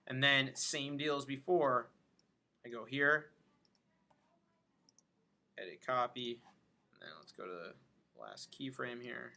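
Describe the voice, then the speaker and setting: A man giving an informal software tutorial, thinking aloud as he demonstrates each step. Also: mid-range voice, slow, vocal fry, pauses often. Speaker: animation instructor. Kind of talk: casual screen-recorded tutorial narration.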